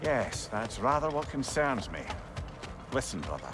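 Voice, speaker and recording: An actor playing a shrewd, loyal advisor in a video game, with a wry, wordy, earnest way of talking. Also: male voice, mid-range voice, sing-song, measured pace, music in the background. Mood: wry concern. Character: shrewd, worldly male advisor and loyal companion. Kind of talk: video game character acting.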